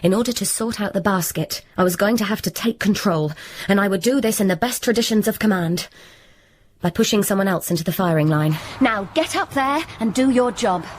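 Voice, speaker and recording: An actress playing a wry, scheming young woman who narrates and talks in a deadpan, wordplay-heavy radio comedy drama. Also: medium pitch, brisk, lightly acted. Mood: brisk, bossy.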